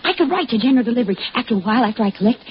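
A woman's voice: a little high, lively intonation, very fast, lightly acted. An actress in a 1940s radio drama playing a scheming, hard-willed wife, insistent and controlling in her persuasion. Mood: calculating. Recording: dull, noisy old radio recording.

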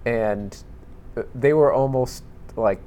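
A man's voice: medium pitch, slow pace, dark tone. A man hosting a panel discussion, asking long searching questions in a conversational way. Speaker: podcast host.

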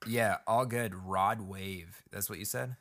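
A man's voice: fairly low pitch, steady tone, fairly bright tone. Upbeat chatty male host. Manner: upbeat, chatty, quick asides. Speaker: podcast host. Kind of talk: casual interview questions. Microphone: video call.

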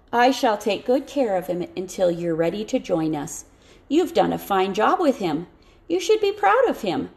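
Casting a woman as a courteous army captain for an audiobook, kind, measured, firm, some creak in the voice. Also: medium pitch, darkish tone. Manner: measured, reassuring. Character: kind, courteous, firm male cavalry officer.

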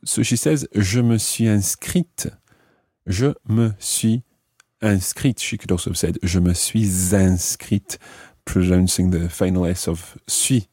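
Low mellow guy doing a french lesson, explains stuff carefully, dry sound. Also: no room sound. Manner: clear, deliberate teacherly phrasing. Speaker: language lesson host explaining French grammar. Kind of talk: instructional explanation switching between English and French examples.

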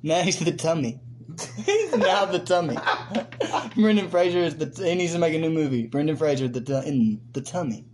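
A man co-hosting a casual podcast, joking, laughing and swearing a lot while high. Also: animated, normal speed, noisy background. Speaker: podcast co-host. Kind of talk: casual crude chat.

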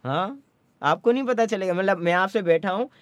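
A man's voice: high-pitched, moderate pace, no echo. A young man from Pakistan, a rapper, talking earnestly in a casual interview and mixing Urdu with English. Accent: Sindhi-tinged Pakistani English. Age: young adult. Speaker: rapper guest.

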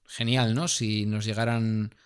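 A man from co-hosting a podcast in casual chat, talkative, playful and measured. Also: fairly low pitch, level tone, clean tone. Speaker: podcast co-host.

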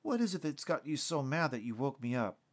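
A man's voice: medium pitch, very animated, brisk, pitched above the performer's own voice. A man voicing a groggy, irritated wife who has just been woken from sleep. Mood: groggy, annoyed.

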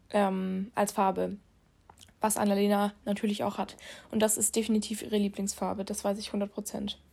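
A woman's voice: medium pitch, a little mellow. A young woman with a cold, co-hosting a podcast and chatting casually into her phone, alone in her room, laughing at herself. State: has a cold. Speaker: podcast co-host. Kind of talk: casual chat.

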